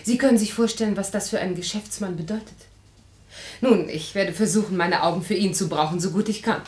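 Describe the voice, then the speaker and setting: A woman's voice: mid-range voice, brisk, darkish tone, natural voice. An actress playing a composed, practical middle-aged woman, speaking formally and articulately in a 1950s radio play. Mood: composed, earnest. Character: composed, frank, practical woman about to remarry. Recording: roomy old radio studio sound.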